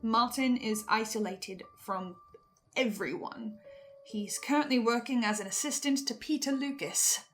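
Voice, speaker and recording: A woman with a fairly high voice gives a reflective, earnest recap. She muses in short, halting phrases, with faint music underneath. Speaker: fan commentator playing a researcher.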